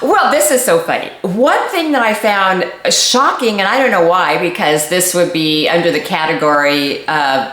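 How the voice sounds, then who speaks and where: Woman in her sixties, playful co-host, lively pitch, echoey room. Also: moderate pace, hardly any pauses. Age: 60s. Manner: giggly, chatty, playful. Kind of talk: casual chat.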